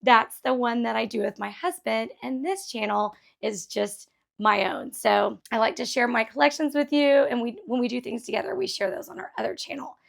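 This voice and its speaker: A middle-aged woman chats excitedly about her vintage finds, her voice pitched high. There is a gravelly fry in her tone, and it sounds a little scratchy after a night of singing along at a concert. She laughs easily as she talks. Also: moderate pace. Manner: chatty and enthusiastic, laughs often. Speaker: vlog host. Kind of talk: casual thrift haul chat.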